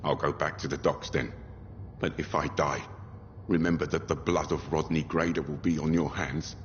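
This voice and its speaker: A man's voice: very deep voice, steady tone, moderate pace. A man playing a guilt-ridden, defensive dockworker in a video game, blunt and terse. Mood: resigned, bitter. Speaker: video game character actor. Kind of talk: dramatic video game dialogue. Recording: muffled, long reverb, noisy game audio.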